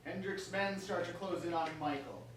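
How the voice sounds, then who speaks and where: A man's voice: high voice, moderate pace. A man reading stage directions as the narrator of a staged reading, in a scripted narration style. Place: reverberant indoor space, far from the mic. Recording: very echoey, noisy room, distant microphone.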